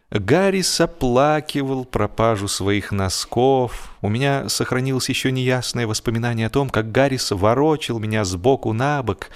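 A man narrating a humorous first-person story expressively, with theatrical, drawn-out emphasis on key phrases. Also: fairly low pitch. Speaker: audiobook narrator.

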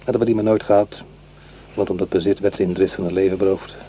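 An actor playing a weary, grieving army corporal and widowed farmer in a radio play, plain-spoken and measured. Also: male voice, low-pitched, fairly even intonation, natural voice. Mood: grieving, subdued. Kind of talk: Dutch radio play acting. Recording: dull, noisy old radio recording.

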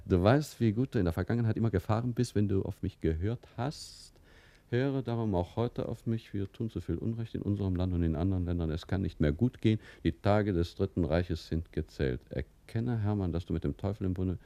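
An actor playing a jovial but self-protective mailroom clerk in a wartime radio drama, with a chatty, colloquial, cajoling manner. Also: male voice, low-pitched, a little fast, creaky, warm tone, no room sound. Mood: reading aloud. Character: jovial, self-protective male Nazi-era Berlin mailroom clerk, family man.

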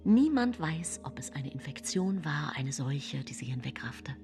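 An actress playing a scheming, self-pitying nineteenth-century murderess who tells her own case in a hushed, brooding radio play monologue. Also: low voice, very animated, unhurried, heavily acted. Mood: grieving, hushed. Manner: hushed, brooding, often whispering. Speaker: radio drama actress narrating. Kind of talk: intimate first-person radio play monologue. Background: music.

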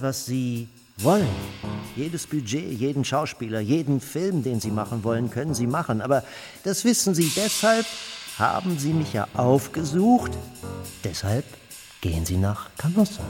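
Menacing, theatrical Nazi minister in a reverberant hall. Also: male voice, medium pitch. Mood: menacing, coaxing. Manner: pompous, repetitive, swings from soft threats to barking. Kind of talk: German radio play acting. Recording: long hall reverb, faint music underneath.

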